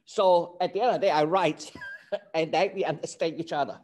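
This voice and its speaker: A man's voice: a little high, a little fast, darkish tone. An older man from Hong Kong, a native Cantonese speaker with a Hong Kong accent, giving an online class lecture over a video call and checking in with "okay?". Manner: earnest, explanatory, checks in with "okay?".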